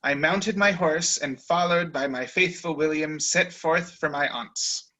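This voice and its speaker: A man's voice: on the high side, measured pace, sharp tone, poor audio. A man reading the part of a proud, rebellious young man of feeling, speaking with grand defiance. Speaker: reader in a dramatic reading.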